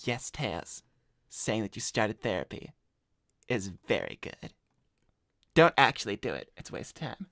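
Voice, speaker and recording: A man's voice: lowish voice, big pitch swings, measured pace, long pauses, pushed and bright, no room sound, studio quality, exaggerated voice. A man voicing a cocky, broke hedgehog slacker in an improvised cartoon livestream, casual, blunt and self-assured. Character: cocky, broke male hedgehog slacker giving shady advice. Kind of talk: improvised in-character livestream chat.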